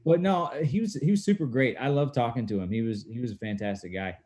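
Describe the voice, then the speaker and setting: A man's voice: medium pitch, fast talker, darkish tone. A man in his thirties, a guest in a casual chat, telling stories in a laid-back, self-mocking way. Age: 30s.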